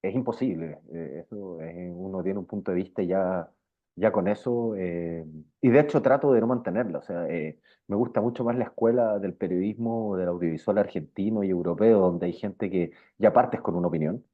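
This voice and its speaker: A man's voice: low-pitched, moderate pace. A Chilean man, middle-aged, speaking informally and with slang in a casual interview, often circling back over his phrases. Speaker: interviewed journalist. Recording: thin, phone-like band-limited sound.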